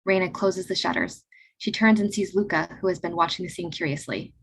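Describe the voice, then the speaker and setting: A woman reading stage directions aloud in a play reading over a video call, in a brisk, even narrating manner. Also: lowish voice, gravelly, slightly rough, rough recording.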